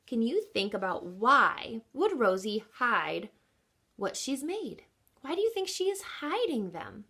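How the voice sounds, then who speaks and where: A woman reading a children's picture book aloud as a teacher, expressively and with deliberate emphasis, speaking in an encouraging way as if to young listeners. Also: on the high side, sing-song, sharp tone, breathy edge. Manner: encouraging, addresses children with questions and explanations.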